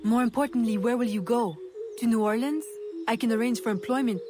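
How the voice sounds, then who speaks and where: An actress playing an earnest, guarded young heroine in a video game, speaking directly and with composure. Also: female voice, on the high side, brisk, noisy background, close to an everyday voice. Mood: concerned. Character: earnest, guarded young female heroine seeking her mother. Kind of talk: dramatic video game cutscene acting.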